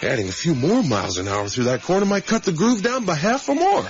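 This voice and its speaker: An eager, intellectual middle-aged father in a 1980s radio play. He muses at length and stresses his points, with a widely swinging pitch. The recording is dull, with faint noise. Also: very animated, a little fast. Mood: musing, animated. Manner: rambling, ruminative, emphatic. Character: musing, eager academic father on a motorcycle trip with his son. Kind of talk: 1980s radio drama acting, naturalistic.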